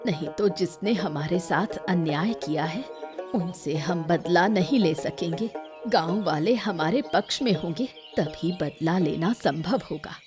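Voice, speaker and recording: A woman voicing a determined, wise village mother in a cartoon, calm and measured. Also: fairly low pitch, music in the background, close to an everyday voice. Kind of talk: Hindi cartoon dubbing.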